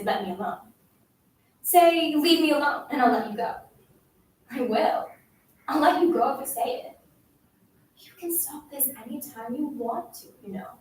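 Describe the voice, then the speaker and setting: A woman playing a cruel schoolgirl who bullies a silent classmate with taunting, insistent commands in a dramatic story performance. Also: sits high. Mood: goading. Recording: very echoey room, distant mic, faint noise.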